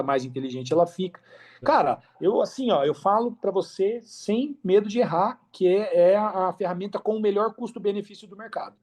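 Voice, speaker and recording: A Brazilian man talking casually and enthusiastically as a podcast guest on a video call, with slangy, high-energy delivery. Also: darkish tone. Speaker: podcast guest, affiliate marketer. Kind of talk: casual interview chat.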